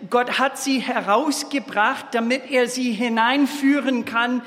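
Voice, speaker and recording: A man in his fifties from Minnesota with an American accent, preaching a sermon in a halting way, with restarts and small slips. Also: extremely high pitch, fairly even intonation, fairly bright tone. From Minnesota, USA. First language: English. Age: 50s. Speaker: guest preacher. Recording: long hall reverb.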